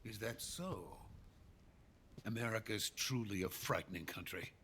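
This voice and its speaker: An actor playing an earnest, anxious Soviet scientist in a video game. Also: male voice, lowish voice, measured pace, a little hiss or hum. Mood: subdued. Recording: dramatic music behind the voice. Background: loud cinematic game music.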